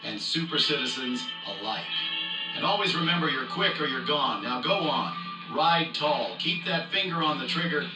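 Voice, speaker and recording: A man's voice: a little high, animated, normal speed, roomy sound, distant mic, over loud music, lightly acted, poor audio. A man playing a folksy, swaggering cowboy salesman with an American Western drawl in a radio advertisement. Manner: hokey, swaggering, colourful salesman patter. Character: folksy cowboy pitchman for war bonds.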